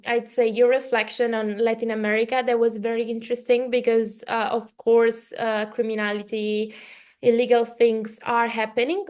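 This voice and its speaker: A woman's voice: on the high side, a little mellow. A woman from Italy, a native Italian speaker with an Italian accent, hosting a casual, reflective podcast conversation. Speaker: podcast host.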